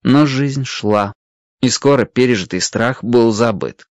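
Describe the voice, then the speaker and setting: An expressive narrator reading a children's animal story in a dramatic, scripted storytelling manner. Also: medium pitch, unhurried, no echo. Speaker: audiobook narrator.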